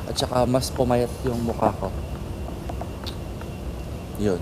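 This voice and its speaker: A man's voice: mid-range voice, steady tone, slow pace. A Filipino man chatting casually and informally as a travel vlogger, switching between two languages. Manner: informal, mixes Tagalog and English. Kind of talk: casual vlog chat. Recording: very noisy handheld travel recording, ambient din.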